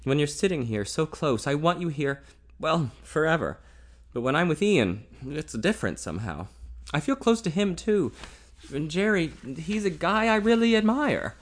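A man voicing a confused, bitter young man in a read-aloud romance novel, speaking in a halting way. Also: fairly high pitch, big pitch swings, pitched above the performer's own voice. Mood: troubled.

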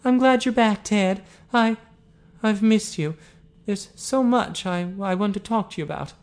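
A narrator acting out a soft, high-pitched young woman. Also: unhurried, natural voice. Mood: bright, tender. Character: soft-spoken, sympathetic young woman, teasing and protective.

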